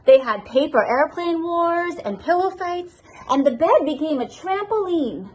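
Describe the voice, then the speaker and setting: A woman's voice: very high-pitched, expressive, measured pace, slight background noise, rough recording. A woman reading a children's storybook aloud expressively, as a school assistant principal addressing her pupils.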